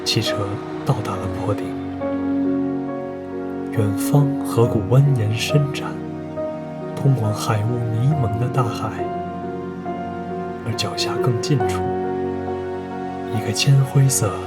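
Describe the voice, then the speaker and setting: A man as solemn narrator over loud music. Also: fairly low pitch, takes it slowly, long pauses. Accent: Mandarin. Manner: descriptive, solemn literary delivery. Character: solemn storyteller narrator of an eerie horror tale. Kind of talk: scripted radio drama narration.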